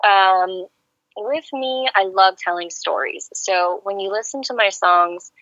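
Bright-voiced chatty woman singer-songwriter. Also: mid-range voice, a little slow, no echo, highs cut off. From Serbia. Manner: friendly, chatty storyteller. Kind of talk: phone-in radio interview. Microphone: phone line.

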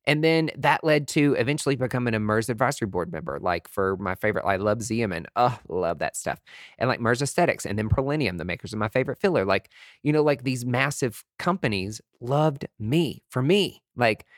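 Sassy guy doing a motivational talk, mid pitch, chatty n emphatic, campy slang, really dry close sound. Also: expressive, a little fast, no echo. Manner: sassy, emphatic, conversational, with camp slang and swearing. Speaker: podcast speaker, motivational aesthetics-industry personality. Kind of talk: candid motivational monologue, casual chat.

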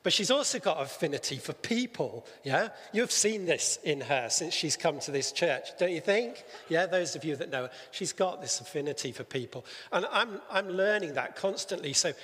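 A man leading a church session, preaching and then chatting with a church member on stage, a little halting and teasing. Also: on the high side, lively intonation, a bit bright. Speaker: church leader interviewing on stage. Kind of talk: sermon-style talk and interview. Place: church hall. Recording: reverberant church hall.

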